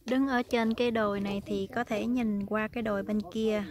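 A woman's voice: mid-range voice, fairly even intonation, normal speed, a little mellow. A woman casually narrating a family barbecue vlog outdoors, pointing out each food as she films. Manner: chatty, points out food items one by one. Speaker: vlogger filming a family barbecue. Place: outdoors on a rural farm field.

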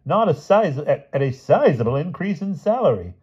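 A man's voice: on the high side, sing-song, moderate pace, darkish tone, slightly rough, highs cut off, voice pushed up, exaggerated voice. A man voicing a pompous, easily offended newspaper owner with a cold, formal, dignified manner, in a storybook reading. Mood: grandly pleased.

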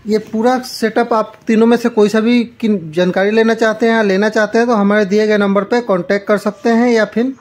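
A man's voice: high voice, level tone, brisk, few pauses. Male product presenter, informal shop-demo delivery, plain and explanatory. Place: small shop, indoors.

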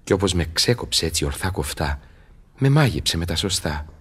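A haughty, ardent seducer declaims in a fairly low voice. He is impatient and imperious, in the grand manner of radio theatre. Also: sing-song, breathy edge, heavily acted. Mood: smitten. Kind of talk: theatrical radio drama acting.

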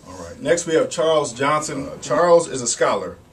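A man's voice: mid-range voice, sing-song, a little fast. Man, American accent, school award presenter, reading aloud, a little far from the mic, faint noise. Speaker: school staff member presenting student awards. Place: wood-panelled office, indoors. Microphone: camera at a distance. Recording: slightly noisy room, distant mic.

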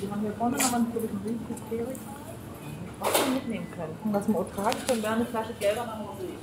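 A woman's voice: a little high, fast. A woman chatting casually with a companion while out shopping. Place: inside a supermarket. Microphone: distant ambient recorder. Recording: far from mic, echoey, loud supermarket clatter. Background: loud store noise, clinking, rattling.

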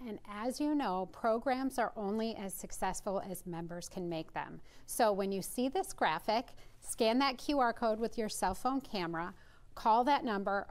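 A woman in her forties co-hosting a live TV cooking show, presenting from partly scripted notes. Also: medium pitch. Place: TV studio kitchen.

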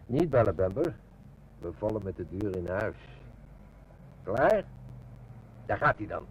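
A low-voiced, stern police inspector. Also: male voice, big pitch swings, measured pace, background noise. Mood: wary, commanding. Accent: Netherlands Dutch. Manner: authoritative, dry. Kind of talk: old Dutch radio drama acting.

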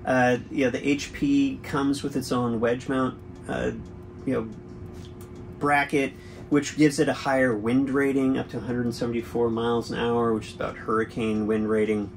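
A man's voice: medium pitch, flat delivery, normal speed, creaky, faint music behind. Casual middle-aged man reviewing gear. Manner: easygoing, explanatory, frequent fillers. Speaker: boating channel host. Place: boat cabin with windows.

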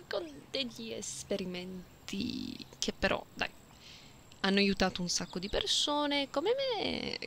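Woman as a caring playful catgirl, slow soft intimate delivery, ASMR roleplay, noticeable background noise. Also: mid-range voice, full character voice. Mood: earnest, upbeat. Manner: soft, unhurried, intimate, sometimes whispery. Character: playful, caring young catgirl who meows and chatters. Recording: binaural close mic, audible background noise.

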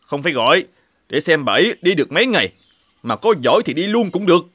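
A man playing a grumpy, fault-finding middle-aged shoemaker in an animated fairy tale, with a scolding, exclamatory manner. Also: a little high, quick pace, no room sound. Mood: scornful, bossy.

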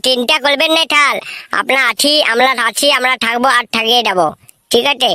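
Cartoon schoolboy, very high bright voice, cocky, eager, rambling, rural West Bengal accent. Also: female voice, brisk, no room sound, clean studio sound. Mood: boastful, reassuring. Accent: rural West Bengal (Bankura). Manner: cocky, rambling, garbled pidgin English. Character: boastful, eager schoolboy mangling English answers. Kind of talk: comic cartoon voice acting.

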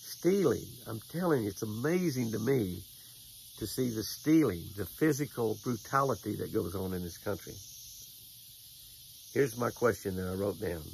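A man's voice: lowish voice, animated, moderate pace, gravelly, a little mellow, slight background noise, rough recording. An older American man outdoors by a lake, giving a folksy devotional and fishing tip, repeating phrases for emphasis. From United States. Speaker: devotional host and fishing angler. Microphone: camera at close range.

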